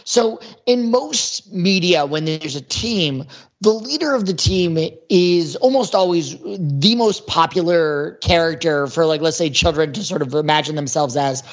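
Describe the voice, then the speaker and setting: A man talking casually as a podcast panelist, rambling and halting as he repeats words to build a point. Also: on the high side, expressive. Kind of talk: casual pop-culture discussion. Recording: dull lo-fi audio.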